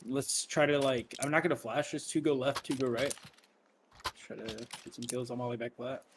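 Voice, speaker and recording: Chill dude calling the strat on voice chat, game sounds clear behind. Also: male voice, mid-range voice, fairly even intonation, normal speed, background noise.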